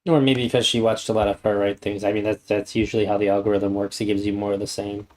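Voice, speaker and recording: A man streaming live, mocking a video clip in a deadpan, sarcastic tone with frequent hesitations. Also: on the low side, fast. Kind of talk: casual sarcastic commentary. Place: home streaming setup.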